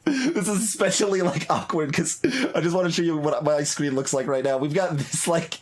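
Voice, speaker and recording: A man's voice: fairly high pitch, a little fast, slight background noise. A man giving casual live commentary on a game playthrough, hesitant and thinking aloud as he talks. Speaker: game streamer. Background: game music, guitar.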